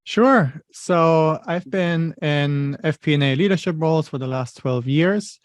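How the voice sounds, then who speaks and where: A man's voice: on the high side, unhurried, dry recording. A man in his thirties from Germany with a German accent, talking as an interview guest in a thoughtful, explanatory way with many "you know" fillers. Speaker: interview guest, finance leader. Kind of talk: conversational interview.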